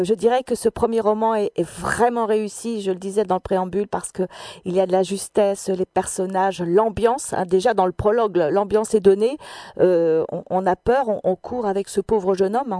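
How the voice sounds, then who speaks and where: Mellow-voiced woman hosting a book show. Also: mid-range voice, no room sound. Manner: measured, chatty, hesitant with fillers. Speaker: host of a literary radio show. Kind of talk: radio book interview.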